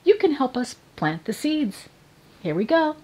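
An older woman telling a story to young children in a playful, expressive manner, addressing them directly. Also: high-pitched, a little slow, husky. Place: indoors in front of a curtain, filmed for online program.

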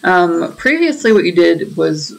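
A woman's voice: on the low side, sing-song, measured pace, creaky, crowd noise, poor audio. A woman hosting a gaming channel, giving chatty, explanatory commentary as she plays. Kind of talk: casual game commentary. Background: faint game music.

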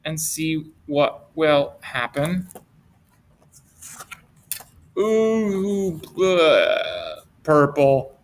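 A middle-aged man tells a picture-book story to small children. His voice swings widely in pitch and he takes his time, stretching words out. The manner is playful and expressive, with simple questions put to the listeners. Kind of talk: read-aloud storytelling for young children. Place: indoors at a desk, webcam with book camera.

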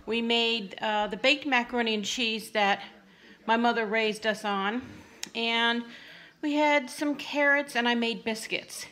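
A woman's voice: on the high side, a little slow, pushed and bright. Chatty woman filming her home cooking. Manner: homey, chatty, talks while cooking. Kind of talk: casual cooking vlog narration. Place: home kitchen and living room. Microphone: phone camera held in hand.

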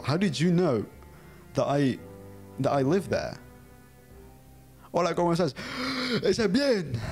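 A man's voice: fairly high pitch, a little mellow, husky. A young Dutch man with a British-style English accent streaming a game from a small room at home, chatting casually, giggling often and reading chat and game text aloud. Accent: Dutch speaker with a British-style English accent. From Netherlands.

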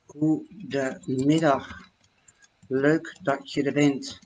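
An older woman with a Dutch accent, talking slowly into her webcam at home and explaining her fortune-telling cards in a chatty, hesitant way. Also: a bass voice, level tone, darkish tone, low-quality recording. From Netherlands. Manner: slow, chatty, with many hesitations. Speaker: tarot reader. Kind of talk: live-streamed card reading talk. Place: home room, indoors. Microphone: webcam at desk.

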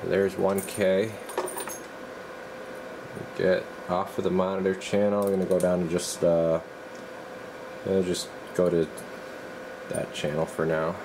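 A man in a radio workshop, talking casually and haltingly while he tests equipment. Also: sits low, background noise. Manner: relaxed, halting, thinks aloud while working. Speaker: radio repair technician. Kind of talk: casual hands-on demo.

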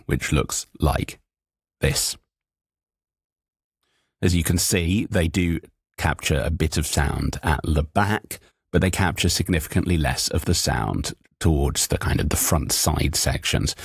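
A man in his forties with a deep voice, explaining microphones in a measured, methodical way with frequent ums and self-corrections, recorded close up in a small home booth. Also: vocal fry, husky, no room sound. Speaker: voice actor reviewing microphones. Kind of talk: explanatory tech review. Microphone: studio microphone at close range, wearing headphones. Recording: dry, close-miked studio sound.

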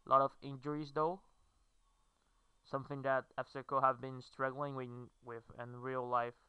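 Clear, bright-voiced Brazilian man. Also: mid-range voice, flat delivery, normal speed, dry recording, muffled recording. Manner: hesitant, fillers, non-native phrasing. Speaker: gamer. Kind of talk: game commentary.

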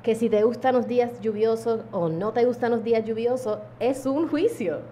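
A woman, calmly teaching a mindfulness lesson to camera, with deliberate pauses. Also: on the high side, a little hiss or hum. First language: English. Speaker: yoga teacher. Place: indoor room at home. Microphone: small handheld lavalier mic held close.

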